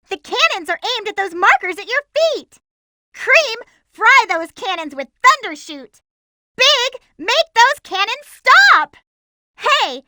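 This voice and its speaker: A woman voicing an excitable, determined young girl heroine in a video game, with a girlish, perky, emphatic delivery. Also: no echo, clean studio sound, full character voice. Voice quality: girlish, high-pitched. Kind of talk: animated video game character voice lines.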